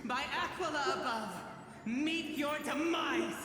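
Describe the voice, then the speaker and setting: A woman's voice: high-pitched, slow pace, fairly bright tone, slight background noise. A woman voicing a proud, bitter leader of an oppressed people in formal, declamatory game dialogue. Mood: indignant, defiant. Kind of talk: solemn theatrical game dialogue. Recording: cavernous reverb, music underneath.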